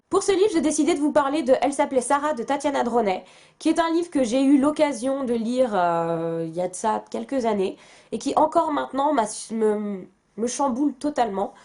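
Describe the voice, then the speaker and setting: Chatty French book vlogger woman, fairly high voice. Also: lively intonation, moderate pace, a little mellow. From France. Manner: chatty, self-mocking, many fillers and restarts. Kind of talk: casual chat to camera.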